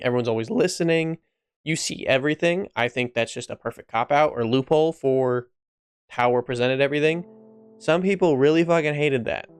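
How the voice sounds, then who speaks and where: A man with a mid-range voice talks casually to viewers over clearly audible music. He is informal and sweary, and circles back to repeat phrases for emphasis as he gives his opinion. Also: medium pitch. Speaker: video essay host.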